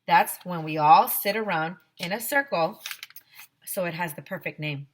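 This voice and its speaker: An American woman, a teacher, reading a children's story aloud in an expressive way. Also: fairly low pitch, moderate pace. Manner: expressive, acting out dialogue.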